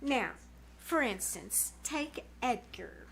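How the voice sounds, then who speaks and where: A woman's voice: on the high side, animated, slow pace, vocal fry, a bit bright, slight background noise, full character voice, poor audio. A woman playing a bossy, gushing teenage girl who talks in dramatic slang, in 1940s radio comedy style. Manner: slangy, dramatic, know-it-all. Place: small-town theater stage, live performance.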